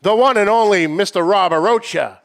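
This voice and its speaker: A man's voice: sits high, moderate pace, creaky. A man hosting a casual livestream with banter, announcing things in a hyped, announcer-like way. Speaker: show host.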